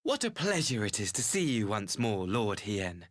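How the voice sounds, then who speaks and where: A man's voice: on the low side, sing-song, normal speed, dry recording, clean studio sound. A man playing a scheming young ambassador in a video game, overly polite and theatrical. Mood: oily politeness. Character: smooth-tongued, scheming young male ambassador. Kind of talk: dramatic game acting.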